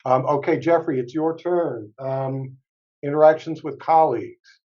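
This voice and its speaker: A man's voice: on the high side, unhurried, highs cut off. A man moderating a panel over a video call, thanking speakers and passing turns in an encouraging way. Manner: warm and encouraging, with fillers.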